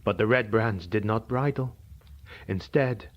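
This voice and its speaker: A man narrating a dark fantasy audiobook in a measured, dramatic way. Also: on the low side. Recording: dull lo-fi recording.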